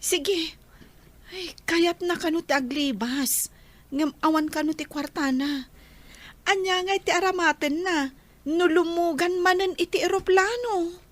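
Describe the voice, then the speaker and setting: A woman's voice: very high voice, a little slow, no room sound. A woman acting a chatty, concerned friend in an Ilocano radio drama. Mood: hesitant, gossipy.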